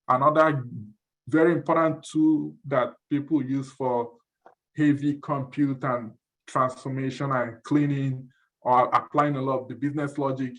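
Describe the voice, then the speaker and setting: A man from Nigeria walks listeners through tools at an online info session in a mid-range voice. He speaks in a relaxed way, stopping and repeating words as he thinks. It sounds like a video call. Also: mid-range voice, poor audio. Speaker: presenter.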